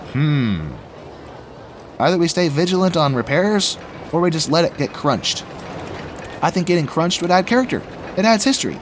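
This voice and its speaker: A young man with a rough, slightly raspy voice after being sick, chatting casually and rambling as he plays a theme park building game. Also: mid-range voice, noisy background. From Washington State. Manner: rambling, chatty, laughs often, talks to himself while building. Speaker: gaming YouTuber. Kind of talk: casual let's play commentary.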